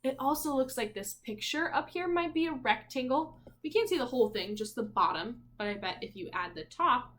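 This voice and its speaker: A woman's voice: high-pitched, animated, fairly quick, hardly any pauses, a bit bright. A woman teacher reading a picture book aloud to young children in a sing-song way, prompting listeners with questions.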